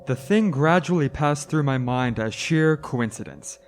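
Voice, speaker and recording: An actor playing an anxious motorist who narrates a radio drama earnestly and stammers when flustered. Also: male voice, mid-range voice, animated, unhurried, music in the background, natural voice. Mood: reflective, uneasy. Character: anxious male motorist haunted by a hitchhiker, narrating.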